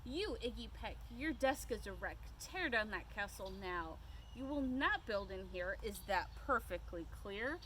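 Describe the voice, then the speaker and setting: A woman's voice: medium pitch, expressive, unhurried, fairly bright tone. A woman voicing a stern, dramatic female schoolteacher in a children's storybook, scolding and theatrical. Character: stern, dramatic female second-grade schoolteacher who hates buildings. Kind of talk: children's picture-book read-aloud. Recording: clear outdoor background noise.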